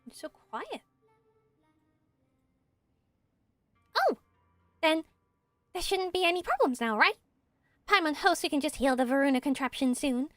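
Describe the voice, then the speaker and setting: A tiny fairy girl sidekick from a video game, very high and excitable. She is chirpy, whiny and dramatic, recorded close and dry over soft music. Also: normal speed, long pauses, no echo. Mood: hopeful. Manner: exclamatory, dramatic, refers to herself by name. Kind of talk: amateur video game character voicing.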